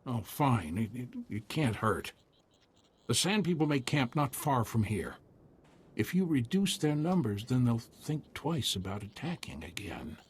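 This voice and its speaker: A man voicing a humble, cautious frontier militia leader in a video game, husky and folksy. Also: medium pitch, sing-song, unhurried. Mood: hesitant.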